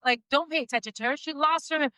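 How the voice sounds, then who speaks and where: Bright high-voiced woman, slight Spanish accent. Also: no room sound, studio quality. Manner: emphatic, slows down for key phrases, laughs between points. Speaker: motivational speaker. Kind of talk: inspirational stage speech.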